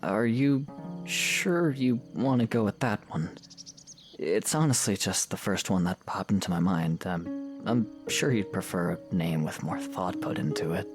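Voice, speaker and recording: An actor playing a reserved, awkward young male hero in a fan audio drama, speaking in a halting, sincere way. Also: low-pitched, music in the background. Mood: unsure. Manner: hesitant, soft-edged, halting.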